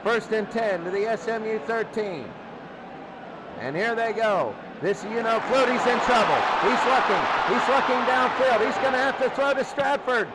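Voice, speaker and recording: A man doing excitable play-by-play commentary on American football, repeating phrases for emphasis. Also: very high voice, normal speed. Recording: loud crowd and ambient noise behind.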